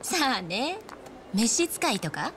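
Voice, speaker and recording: Poised, cheeky young heiress. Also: female voice, fairly high pitch, sing-song, slow pace, a bit bright, slight background noise, exaggerated voice. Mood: teasing. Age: young adult. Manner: polite, assured, teasing. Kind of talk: Japanese game cutscene acting.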